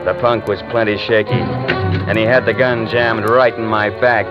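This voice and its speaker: A man's voice: on the low side, fairly even intonation, normal speed, lightly acted. An actor playing a tough, wisecracking young man who narrates his story in a 1940s radio drama, with clipped, sardonic delivery. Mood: narrating. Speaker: hard-boiled narrator and lead. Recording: dull old radio broadcast with music.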